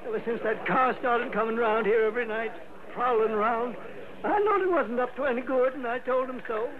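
A man's voice: extremely high pitch, fairly quick. An actor playing a frail, distraught old American night watchman who talks in a rustic, repetitive way, in old-time radio drama style. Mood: tearful, bitter. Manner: rustic, repetitive, plaintive way of talking. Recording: tinny, noisy vintage radio recording.

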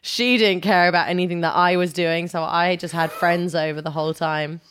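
Smooth-voiced young Englishwoman, rambling. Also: lowish voice, fairly even intonation, normal speed, fairly bright tone. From England, London-born, raised partly in Kosovo. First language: Albanian. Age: 20s. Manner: rambling, hesitant, heavy on "like" and "you know". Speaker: guest. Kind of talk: casual chat.

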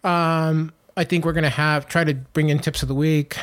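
A man's voice: a little high, fairly even intonation, normal speed. A man in his late thirties co-hosting a casual podcast, rambling with lots of fillers and restarts. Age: 30s. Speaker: podcast co-host.

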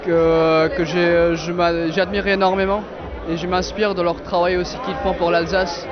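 A man's voice: high-pitched, flat delivery, normal speed, muffled recording, crowd noise. A young man who makes wine, answering carefully and earnestly, sometimes searching for words, at an outdoor festival. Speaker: young winemaker.

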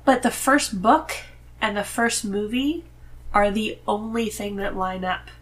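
A woman's voice: medium pitch, unhurried, rough recording. A woman as a podcast guest, chatting casually and telling her views in an emphatic, informal way. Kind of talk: casual conversational chat.

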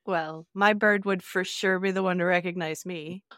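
A woman's voice: on the low side, steady tone, moderate pace, a bit bright, no room sound, studio quality. A woman co-hosting a podcast with casual, playful banter. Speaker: podcast co-host.

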